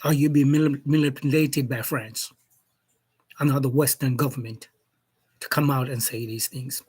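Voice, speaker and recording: A man from Jamaica speaking as a commentator in an opinion monologue, slow and deliberate, posing challenging questions. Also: medium pitch, fairly even intonation, darkish tone, slightly rough.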